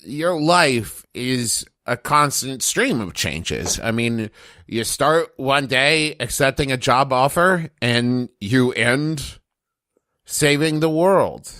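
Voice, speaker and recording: A man playing a loyal, plain-spoken adventurer in a tabletop roleplaying game, speaking in a measured, earnest way. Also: medium pitch, lively intonation, sharp tone, no room sound, clean studio sound. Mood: earnest, comforting. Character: loyal male adventurer, plain-spoken, heartfelt, comforting friend. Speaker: player.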